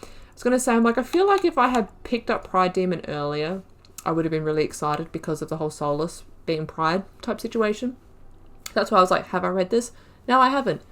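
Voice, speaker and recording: A woman with a slightly low-pitched voice talks through a video game playthrough in a relaxed, chatty way. She thinks out loud with enthusiasm and reads lore entries aloud along the way. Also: brisk. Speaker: gaming channel host. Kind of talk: casual game playthrough commentary.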